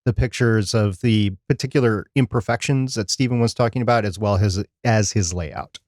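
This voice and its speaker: A man's voice: lowish voice, moderate pace, a little mellow, no room sound, clean studio sound. A man co-hosting a podcast, giving his opinions in a casual live discussion. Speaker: podcast co-host.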